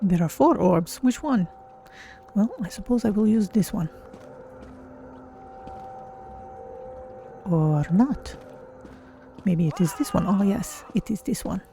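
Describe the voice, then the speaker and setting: A streamer voicing an easygoing cat-folk adventurer in a fantasy game, measured and wry, with a creaky voice. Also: medium pitch, animated, warm tone, slight background noise. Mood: pondering. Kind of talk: in-character game stream roleplay.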